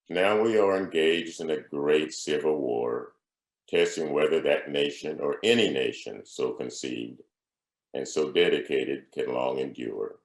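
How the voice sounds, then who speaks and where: An extremely deep male voice with a gravelly edge recites a historic speech solemnly. He phrases deliberately, like an orator, leaving long pauses. The room around him echoes.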